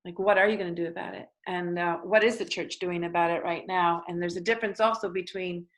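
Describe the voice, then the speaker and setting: A woman's voice: on the low side, fairly even intonation. Hesitant woman moderating a panel. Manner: hesitant, halting, thinking aloud. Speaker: host moderating an online panel. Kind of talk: facilitating a group discussion. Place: online video call.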